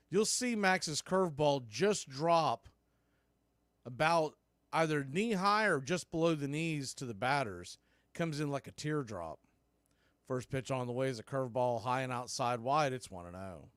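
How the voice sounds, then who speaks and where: A man's voice: fairly high pitch, animated, measured pace, no room sound, clean studio sound. A man calling baseball play-by-play, rising sharply on big plays. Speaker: play-by-play announcer. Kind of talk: radio play-by-play commentary.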